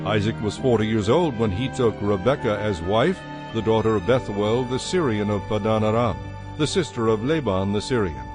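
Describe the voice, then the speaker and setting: Solemn male narrator for dramatized scripture, fairly low and measured, over music. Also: lively intonation.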